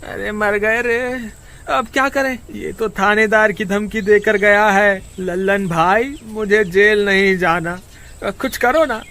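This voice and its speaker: A man's voice: sits high, slow, bright, forceful tone, exaggerated voice. A man voicing a panicky, scolding village sidekick in a moral-story cartoon, whiny, exclaiming and pleading. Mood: panicky, fretful.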